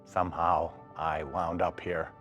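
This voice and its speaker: A searching, reflective old man voices a letter in a deep register. He goes very slowly and haltingly, thinking as he speaks, with faint music underneath. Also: little pitch movement, fairly bright tone, highs cut off. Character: reflective, searching senior man writing to a companion. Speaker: actor reading seniors' writing. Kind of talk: dramatic letter reading.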